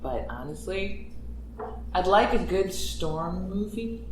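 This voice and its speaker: Woman voice actor, low-pitched, blunt and wry in casual chat; roomy sound. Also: animated, slow pace, a little mellow, slight background noise. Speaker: podcast voice actor. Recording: echoey room.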